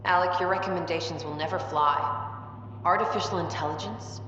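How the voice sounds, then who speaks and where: A disapproving ambassador, played by a woman, stern and lowish, admonishes from a distance. Heavy echo washes over the game audio. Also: brisk, fairly bright tone, highs cut off, slight background noise, close to an everyday voice. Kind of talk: video game voice acting.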